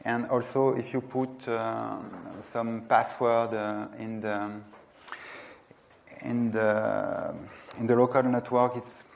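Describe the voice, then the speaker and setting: A man's voice: mid-range voice, level tone, slow pace, gravelly, slight background noise. A man in his thirties giving a technical presentation in a lecture room, speaking hesitantly and searching for words. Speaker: conference presenter.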